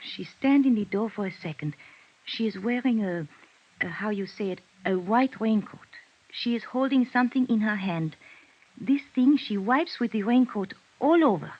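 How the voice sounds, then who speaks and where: A woman's voice: medium pitch, animated, moderate pace, natural voice. An actress playing a timid, frightened young Frenchwoman in 1950s radio detective drama, hesitant and apologetic in French-accented broken English. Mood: anxious, recounting. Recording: dull vintage radio recording.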